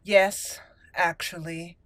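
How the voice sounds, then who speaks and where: A woman's voice: fairly low pitch, steady tone, fairly bright tone. Blunt, resolute elf mage, played by a woman. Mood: blunt resolve. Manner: formal, blunt, measured. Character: resolute young female elf mage raised by dwarves, blunt. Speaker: gaming host. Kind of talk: improvised roleplay in a game walkthrough. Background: game music.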